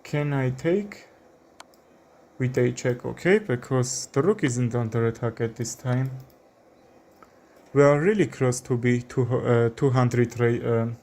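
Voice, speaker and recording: A young man with an Armenian accent commentating his own chess game, thinking aloud with frequent fillers and pauses. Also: mid-range voice, a little mellow. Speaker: chess streamer. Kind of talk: live commentary while playing chess.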